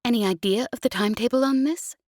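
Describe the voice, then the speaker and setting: A woman voicing a brisk, direct, businesslike woman who leads a crew, in an audiobook. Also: on the high side, a little mellow, no room sound, clean studio sound, voice pushed up, natural voice.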